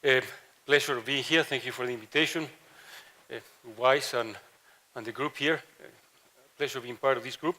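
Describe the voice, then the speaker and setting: A man's voice: medium pitch, normal speed, pauses often, pushed and bright. A middle-aged man from Mexico and Ecuador with a Latin American Spanish accent, giving a conference panel talk with many fillers and restarts. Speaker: professor, panel speaker.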